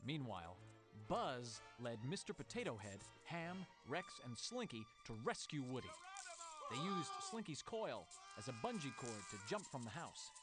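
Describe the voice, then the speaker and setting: A man's voice: mid-range voice, big pitch swings, moderate pace, over loud music. A man reading a scripted children's storybook as narrator.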